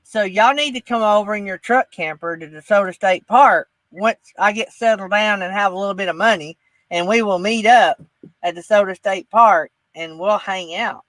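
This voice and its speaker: Male livestream host, Southern US accent, high pitched, dry recording, informal chat. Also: moderate pace, fairly bright tone, no room sound. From northeast Alabama, United States. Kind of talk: casual chat.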